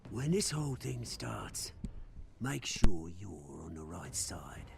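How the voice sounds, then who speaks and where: A gravelly, menacing agitator. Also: male voice, on the low side, big pitch swings, takes it slowly, exaggerated voice. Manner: menacing, hushed. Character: ominous male agitator, threatening. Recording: game audio with noise.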